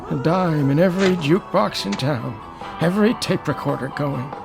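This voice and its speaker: An actor playing a lonely, anguished man of eighty stranded on Mars, halting and haunted, in a radio drama. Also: a little high, gravelly, music in the background. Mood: bitter, desolate. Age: 80s, as played. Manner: halting, haunted, swinging from murmurs to outbursts. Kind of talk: dramatic radio acting, reminiscing monologue. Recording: noisy radio drama recording.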